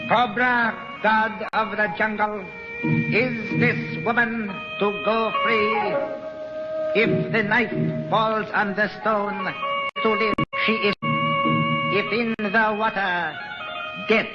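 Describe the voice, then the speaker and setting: An actor playing a menacing cobra cult priest in an old-time radio melodrama, with solemn, pompous, ceremonial phrasing. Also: male voice, high-pitched. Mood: chanting, ritual. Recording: muffled old radio recording, long reverb, music.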